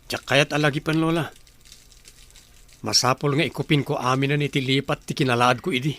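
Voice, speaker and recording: A man's voice: mid-range voice, fairly even intonation, brisk, fairly bright tone, lightly acted. A man acting in an Ilocano radio drama as a newly confident young grandson. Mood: resolute, bitter. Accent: Filipino, Ilocano. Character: young grandson, newly confident, bitter about past looks.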